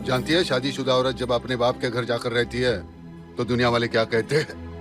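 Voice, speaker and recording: A man acting a bitter, quarrelsome, hard-drinking taxi driver husband in a film drama, talking in a rough street manner. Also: mid-range voice, flat delivery, brisk, natural voice. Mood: bitter, taunting. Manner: rough colloquial street Hindi, confrontational. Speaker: actor in a film. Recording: dramatic music behind the dialogue.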